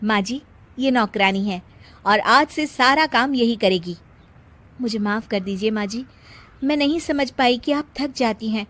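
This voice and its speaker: A woman voicing a harried young working mother and daughter-in-law in an animated moral story. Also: fairly high pitch, a little slow. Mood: gentle.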